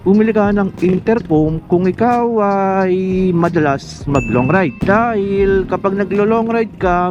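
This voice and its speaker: A man's voice: high-pitched, darkish tone. A Filipino man giving a casual explainer vlog, talking colloquially and directly to the viewer. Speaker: motovlogger. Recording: muffled lo-fi mic, music underneath.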